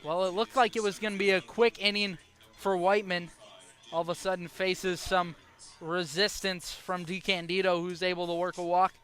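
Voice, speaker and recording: A man's voice: high voice, flat delivery, normal speed, a bit bright, faint music behind. A young American man calling baseball play-by-play as a live broadcast commentator. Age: young adult.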